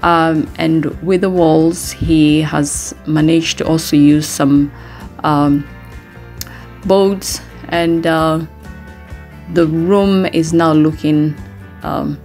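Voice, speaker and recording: A middle-aged woman narrating a casual home vlog in a halting, hesitant way, pausing often. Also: low voice, fairly even intonation, a little mellow, faint music behind. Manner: hesitant, halting delivery with frequent pauses. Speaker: home renovation vlogger.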